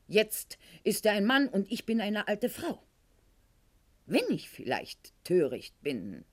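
An actress playing a proud, aging aristocratic wife at court in a radio play, speaking formally and precisely, with haughty theatrical declamation. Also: female voice, fairly low pitch. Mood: haughty, dismissive.

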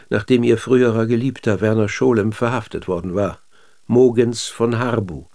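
An actor playing a polite, persistent interviewer and narrator in a radio drama, speaking in a measured way. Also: male voice, mid-range voice, darkish tone, close to an everyday voice. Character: polite, probing male interviewer questioning a dead general.

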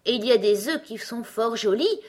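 Woman doing a sweet polite little girl for a story, high voice, gentle and earnest. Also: lively intonation, normal speed. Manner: polite, soft-spoken, earnest. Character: polite, gentle seven-year-old girl. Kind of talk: storybook character acting.

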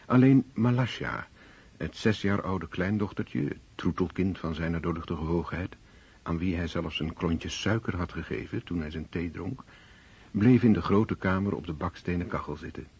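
A man narrates a literary radio drama in a scripted, storytelling style. His voice is very deep and dark, with a heavily husky grain. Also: normal speed.

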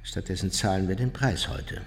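A man's voice: low voice, normal speed, gravelly, slightly rough, full character voice. An actor playing an older, unrepentant Nazi war criminal, smug and matter-of-fact as he recounts his memoirs in a radio drama. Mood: bitter contempt. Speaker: narrator of his own memoirs. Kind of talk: radio drama monologue, reminiscing.

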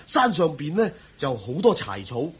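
A kindly country tea giver in a Cantonese radio drama. His voice is very husky and fairly high, swooping widely in pitch. He is humble and folksy, full of chuckles and friendly exclamations. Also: wildly swooping pitch, normal speed, heavily acted. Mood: cheerful, helpful.

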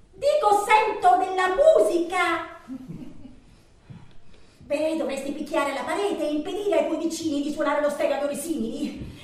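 An actress playing an anguished, lovelorn woman alone by the telephone, in a theatrical monologue with a dramatic, halting delivery. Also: high voice. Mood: agitated reproach. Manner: dramatic, halting, swinging from murmurs to outcries. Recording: roomy, distant, echoing, faintly noisy.

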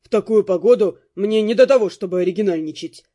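A man's voice: sits high, quick pace, dark tone. An actor playing an indignant, sulky young male robber in an audiobook, with whiny, blustering complaints. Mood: snappish.

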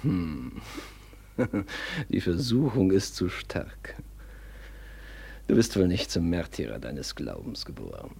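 A man's voice: low-pitched, huge pitch swings, takes it slowly, vocal fry, pauses often, dark tone, breathy edge, exaggerated voice. An actor playing a cruel, commanding young nobleman in a German radio drama, sneering and imperious in a theatrical way. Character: cruel, commanding young nobleman villain tormenting his servant.

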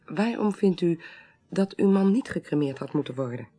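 A woman playing a composed, courteous court clerk who investigates a death in a radio drama, brisk and formal. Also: fairly low pitch, expressive, dark tone, breathy edge. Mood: formal, inquiring. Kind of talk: naturalistic Dutch radio drama acting.

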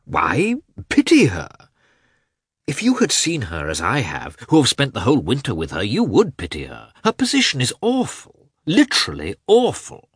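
A man voicing an earnest, excitable gentleman in an audiobook, pleading in an emphatic, effusive way. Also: medium pitch, normal speed, husky, no room sound, clean studio sound, pitched above the performer's own voice, exaggerated voice. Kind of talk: audiobook character voice.

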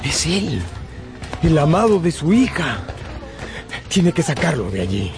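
A man's voice: a little high, sing-song, unhurried. A man narrating a scripted radio drama as a dramatic storyteller. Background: prominent music.

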